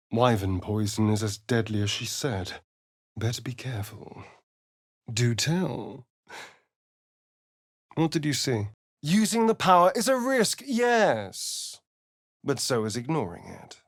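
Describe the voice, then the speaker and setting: Casting: sly theatrical vampire spawn, mid-pitched, arch drawl, unhurried, very expressive, game dialogue. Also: male voice, no echo, studio quality. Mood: airy, coy. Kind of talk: video game character voice acting.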